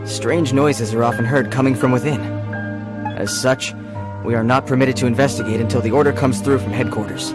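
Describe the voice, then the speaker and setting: An actor playing an earnest, dutiful city guard in a video game, speaking in a formal, official way. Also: male voice, mid-range voice, steady tone, brisk, lightly acted. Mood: grave, dutiful. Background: game music.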